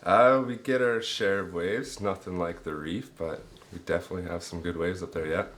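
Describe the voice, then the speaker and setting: A man's voice: deep voice, fairly even intonation, moderate pace, fairly bright tone. A male surfer from Vancouver Island in Canada, giving a laid-back contest interview in short, plain sentences.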